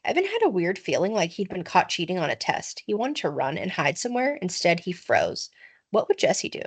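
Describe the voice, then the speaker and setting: A woman's voice: lowish voice, big pitch swings, a little fast, slightly rough. A woman narrating a children's storybook expressively, with dramatic emphasis, like a teacher reading aloud.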